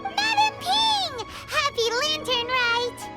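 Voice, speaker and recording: An extremely high, squeaky little fairy sidekick. The delivery is lively and childlike, with a chirpy, blunt manner suited to a fantasy game. Music plays prominently behind the voice. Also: female voice, slow, exaggerated voice. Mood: cheerful. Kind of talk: English game voice acting.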